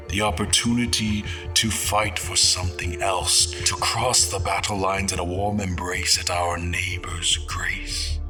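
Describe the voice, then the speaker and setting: A man playing a solemn steward of a fallen world, giving grave, deliberate, often hushed dramatic narration in an audio drama. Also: deep voice. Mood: wistful. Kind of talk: dramatic first-person scripted narration. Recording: music under the voice. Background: dramatic music.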